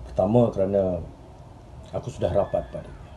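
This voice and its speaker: A man acting an earnest, restless journalist in a dramatic stage monologue, speaking in deliberate literary phrases with pauses. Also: low-pitched, steady tone, warm tone, muffled recording, a little hiss or hum, natural voice. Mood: brooding, reflective. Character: earnest, restless male journalist reminiscing about his admired mentor. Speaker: stage actor. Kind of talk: theatrical monologue in Malay drama.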